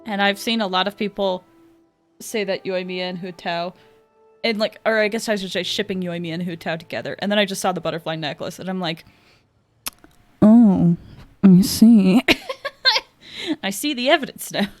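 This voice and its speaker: A woman's voice: medium pitch, moderate pace, pauses often. A woman game streamer giving casual livestream commentary with music behind her. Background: faint game music.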